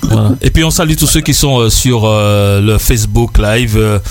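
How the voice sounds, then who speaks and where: A man's voice: fairly low pitch, steady tone, normal speed, a little mellow, soft background music. A man hosting a live radio chat, stammering and laughing often, with many fillers. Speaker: radio host. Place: radio studio.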